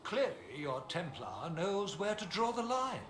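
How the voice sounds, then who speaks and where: A man's voice: high-pitched, sing-song, unhurried, fairly bright tone, exaggerated voice. An actor playing a pompous, scornful male cleric in a fantasy video game, argumentative and indignant. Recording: echoey space, faint ambient noise.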